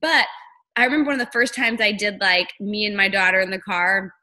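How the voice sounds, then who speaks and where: Bright, rambling woman host on a video call. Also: mid-range voice, steady tone, a little fast. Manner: rambling, friendly, lots of "like". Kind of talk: casual live-stream chat.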